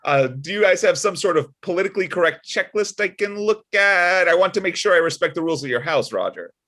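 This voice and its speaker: A man playing a prim, pious older dad, high-pitched. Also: animated, fairly quick, bright, forceful tone. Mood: wounded, prim. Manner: sanctimonious, sugary jabs. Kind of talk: comedic script table read.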